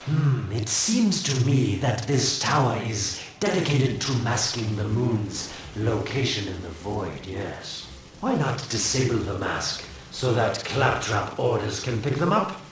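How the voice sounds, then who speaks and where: An actor voicing a sly, mocking scientist who taunts in smug, sarcastic drawls. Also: male voice, medium pitch, animated, unhurried, very echoey, far from the mic, background noise, natural voice. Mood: sardonic. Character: sly, mocking male scientist, gleeful schemer.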